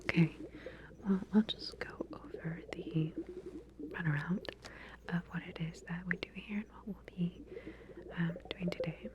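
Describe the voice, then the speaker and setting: Slow, whispering woman in ASMR roleplay. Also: lowish voice, fairly even intonation. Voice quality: breathy, unvoiced whisper. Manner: gentle, unhurried, halting explanations with pauses. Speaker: ASMR roleplay performer playing a lab medical researcher.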